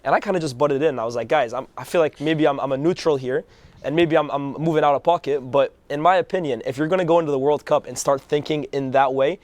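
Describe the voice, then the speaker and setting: Fast-talking hoarse man, dry close sound. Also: a little high, no echo. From Algerian background. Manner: fast, animated storytelling. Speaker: co-host. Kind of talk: casual football chat.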